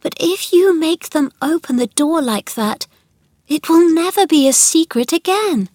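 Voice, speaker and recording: A woman voicing an anxious, gentle young girl in a children's storybook, earnest and coaxing. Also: high-pitched, lively intonation, measured pace, no echo, clean studio sound, pitched above the performer's own voice. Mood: distressed, pleading. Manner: pleading, coaxing.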